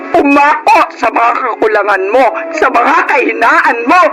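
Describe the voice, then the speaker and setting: A woman's voice: high voice, expressive, measured pace, over music. A woman with a Filipino accent acting a long-suffering, betrayed wife in an emotional Tagalog radio drama. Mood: anguished, accusing. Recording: noisy radio drama recording.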